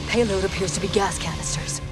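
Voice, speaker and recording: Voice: mid-range voice, fast talker, natural voice, poor audio. An actor playing a tense field operative in a video game, reporting urgently in clipped radio phrases. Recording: loud music and gunfire over it.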